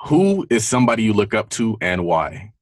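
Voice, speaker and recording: A male podcast host, brisk, firing off quick questions. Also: fairly low pitch, big pitch swings, no room sound. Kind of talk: casual podcast interview.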